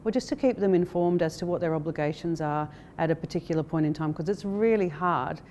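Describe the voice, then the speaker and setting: Aussie woman exec in an interview, very mellow voice, measured, bit of low rumble noise behind. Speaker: interviewee, managing director of an investment firm. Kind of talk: corporate interview.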